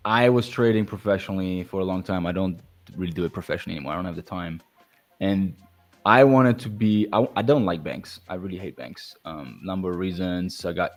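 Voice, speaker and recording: A man on a casual podcast, explaining his product with relaxed restarts. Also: low-pitched. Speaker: guest, crypto founder.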